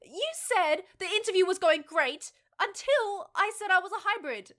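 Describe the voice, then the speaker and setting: Woman acting a high-voiced, spirited half-fox-spirit young woman. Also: animated, normal speed, a bit bright. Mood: indignant. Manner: defiant, indignant, argumentative. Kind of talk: audio drama dialogue.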